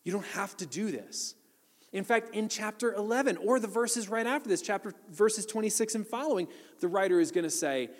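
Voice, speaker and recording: A man's voice: sits high, darkish tone. A man preaching a sermon, explaining scripture earnestly and building to emphatic appeals. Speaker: pastor. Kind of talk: sermon teaching on Hebrews. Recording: long hall reverb.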